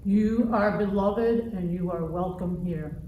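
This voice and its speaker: A woman's voice: fairly low pitch, slow pace. Woman reciting in an echoey, dull recording. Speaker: worship participant. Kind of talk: liturgical responsive refrain. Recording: echoey, dull, distant mic, faint noise.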